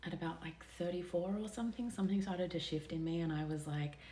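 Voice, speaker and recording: A woman's voice: lowish voice, fast talker, mellow, a little hiss or hum. A woman in her late thirties talking candidly to camera in a personal vlog. She is confessional and often drops to a near whisper. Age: 30s. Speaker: vlogger.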